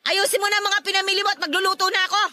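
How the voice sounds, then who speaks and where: A domineering middle-aged Filipino mother in a radio drama. Her voice is very high and sharp, scolding and nagging with scorn. The sound is close and dry. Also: little pitch movement, racing, no echo, exaggerated voice. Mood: angry, scornful. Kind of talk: Tagalog radio drama acting.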